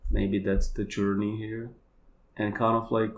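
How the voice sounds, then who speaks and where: A man with a Polish accent, a native Polish speaker, talking in a halting, searching way as a podcast guest. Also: lowish voice, level tone. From Poland. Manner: halting, searching for words, many fillers. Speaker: kambo practitioner guest. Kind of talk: casual conversation.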